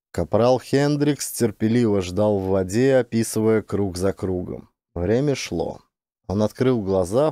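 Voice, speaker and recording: A man's voice: fairly low pitch, mellow, no room sound, clean studio sound. A man reading scripted fiction as a dramatic audiobook narrator.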